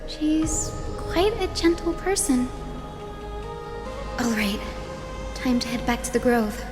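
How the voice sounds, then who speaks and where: An actress playing a young heroine in a video game, earnest, gentle and resolute, speaking politely and with reverence. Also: female voice, sits high, lively intonation, slow. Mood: gentle, reflective. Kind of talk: scripted video game voice acting. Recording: long hall reverb, music behind.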